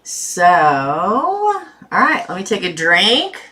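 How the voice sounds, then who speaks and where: A woman's voice: medium pitch, huge pitch swings, drawn-out words, pushed and bright. A woman hosting a tarot live stream with a sore throat, chatting casually and greeting viewers by name.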